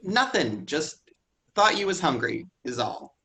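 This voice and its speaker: A touchy, defensive young man who answers in short, prickly lines, as a man reads him aloud. His voice is bright and sits a little high. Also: very animated, measured pace, full character voice. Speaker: actor in a staged reading. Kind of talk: staged play reading.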